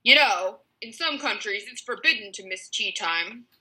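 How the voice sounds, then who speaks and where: A woman voicing a big, dopey, good-natured male henchman in a children's story, slow-witted and fussy, mangling his words. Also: mid-range voice, expressive, pushed and bright, rough recording. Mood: earnest.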